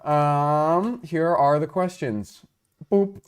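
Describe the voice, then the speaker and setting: A man's voice: on the high side, extremely slow, clear, resonant tone, soft background music. A man hosting a casual livestream, rambling and joking as he talks to his chat on a lapel mic. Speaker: livestream host.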